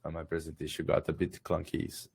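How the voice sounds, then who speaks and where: A man speaking English with a Portuguese accent, not his first language, slightly hoarse from being sick, presenting on a video call with many hesitations and restarts. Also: a bass voice, completely flat delivery, fast, a little mellow. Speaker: guest presenter. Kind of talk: webinar presentation.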